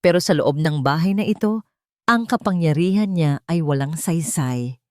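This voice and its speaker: A woman narrating a scripted audiobook story in a deliberate, dramatic way. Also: low-pitched, dry recording, studio quality.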